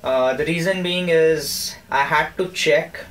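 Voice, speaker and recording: A man's voice: fairly high pitch, unhurried, fairly bright tone, slight background noise, poor audio. A man with a South Indian accent chatting casually as he gives a hands-on installation walkthrough in a homemade vlog. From Bangalore, India. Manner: chatty, mixes in Kannada phrases. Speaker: motorcycle vlogger.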